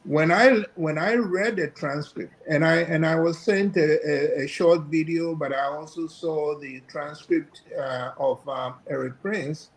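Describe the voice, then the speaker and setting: An older man from Ghana, a guest professor giving measured, hesitant commentary. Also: fairly high pitch, poor audio. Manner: measured, hesitant with many fillers.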